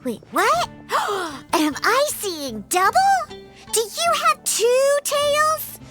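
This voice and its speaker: A woman voicing a small, excitable girl sidekick in a video game, bubbly and full of questions. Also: very high-pitched, extremely slow, over loud music, full character voice. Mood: astonished. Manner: bubbly, gushing, inquisitive.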